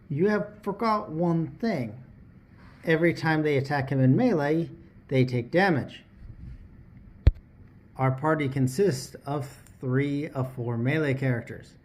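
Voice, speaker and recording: Mellow dark timbre, medium pitch, instructional host, explanatory delivery, direct address. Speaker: tabletop RPG lesson host.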